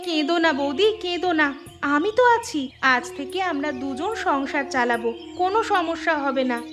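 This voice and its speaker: A woman's voice: high voice, animated, normal speed, music in the background. A woman playing a helpful, steadfast village aunt in a cartoon, earnest and reassuring in manner. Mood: consoling. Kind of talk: cartoon dubbing.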